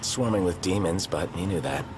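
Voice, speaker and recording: A man's voice: low voice, moderate pace, background noise, lightly acted. A man playing a terse, determined young hero in a video game, speaking in clipped, understated lines. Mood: grim. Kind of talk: video game drama acting. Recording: loud music over the voice.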